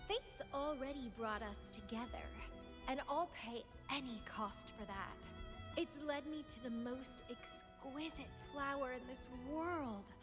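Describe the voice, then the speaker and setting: High-voiced, teasing, obsessive young woman. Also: a little slow. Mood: fervent, devoted. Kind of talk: anime-style video game voice acting. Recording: loud music behind the voice. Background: music.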